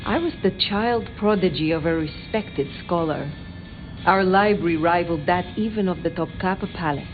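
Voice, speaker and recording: A woman's voice: on the low side, moderate pace, narrow, tinny audio, close to an everyday voice. A woman playing a proud, worldly ancient vampire in video game dialogue, composed, formal and aloof. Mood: wry reminiscence. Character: proud, worldly, imperious ancient female vampire, cool and commanding. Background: clear background music.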